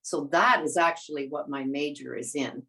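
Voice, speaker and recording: A woman's voice: sits low, normal speed, sharp tone. A woman in her seventies, born in the Netherlands, telling her story conversationally as an interview guest. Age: 70s. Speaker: interview guest, retired teacher.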